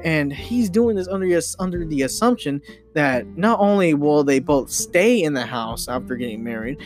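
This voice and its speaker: Young guy podcaster ranting off the cuff, kinda high voice, keeps restarting sentences, guitar playing behind him. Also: animated. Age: young adult. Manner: halting, repeating and restarting phrases, emphatic, mildly sweary. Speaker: podcast host. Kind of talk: rambling, opinionated podcast monologue. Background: guitar music.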